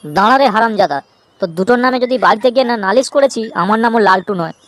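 Casting: man as indignant old cartoon grandpa, high processed voice, rattling pace. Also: lively intonation, racing, fairly bright tone, dry recording, soft background music. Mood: indignant scolding. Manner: scolding, indignant. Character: grumpy, tattling, foul-mouthed old village man. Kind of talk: amateur comedy cartoon dubbing.

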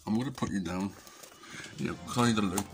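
A young man from England talks casually to a close-up webcam in a small dim living room, his voice worn and fading. He mumbles along, cracks jokes and narrates his own antics in the third person, in a somewhat low register. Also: fairly quick, music in the background. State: losing his voice. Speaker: vlogger. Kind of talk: casual rambling self-commentary. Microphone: webcam or phone camera at close range.

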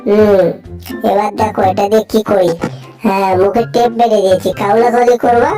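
A woman with a Bangladeshi accent voicing an excitable, bossy cartoon animal who wails and pleads in an amateur dub. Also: medium pitch, brisk, faint music behind, natural voice. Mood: bossy scolding. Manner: exclamatory, repetitive wailing and pleading.

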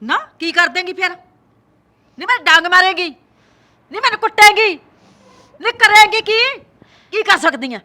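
A sharp, bright-voiced Punjabi mother-in-law played by a woman who taunts and shouts at her daughter-in-law. Her voice is high and forceful, full of mockery. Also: normal speed. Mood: taunting. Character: harsh scolding mother-in-law, older woman.

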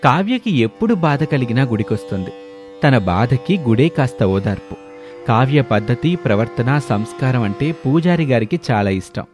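A man's voice: mid-range voice, big pitch swings, normal speed, a little mellow. Male storyteller over a music bed. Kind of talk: scripted story narration with acted dialogue. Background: background music.

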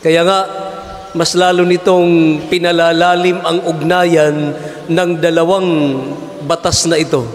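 A Filipino priest in his forties preaching a homily at a lectern mic, measured and asking rhetorical questions. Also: fairly high pitch, little pitch movement. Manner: measured, asks rhetorical questions, mixes in English terms. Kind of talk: sermon. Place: large church, indoors. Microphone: stand mic at lectern. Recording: echoey church with long reverb.

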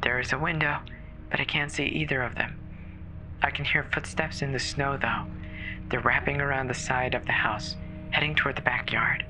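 A performer voicing a terrified woman caller alone in a snowbound house, halting and stammering with fear in a horror audio drama. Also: mid-range voice, steady tone, music in the background, natural voice, rough recording. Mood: anxious, hurried. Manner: halting, fearful, breathy stammering. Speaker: emergency caller.

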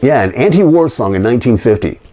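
A man in his fifties talks to his viewers in a folksy, emphatic way. His voice is mellow and swings freely up and down in pitch, with music sometimes underneath. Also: expressive, fast. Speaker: vlogger and podcast host. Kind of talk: chatty vlog to camera. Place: living room indoors.

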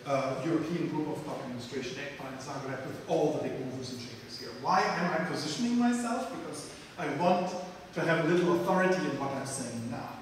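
A middle-aged man gives a blunt, emphatic lecture at a slightly raised pitch. He holds a handheld mic in a large lecture room. The sound is heavily echoey and far from the recorder, with a faint hiss. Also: animated, a little fast. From Germany. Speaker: guest lecturer. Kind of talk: lecture answering questions.

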